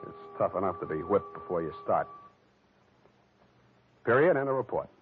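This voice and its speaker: An actor playing a wry, hard-boiled private detective with a sardonic, deadpan manner, in 1940s radio style. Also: male voice, low-pitched, normal speed, music in the background. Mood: wry narrating. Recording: muffled, noisy old radio recording.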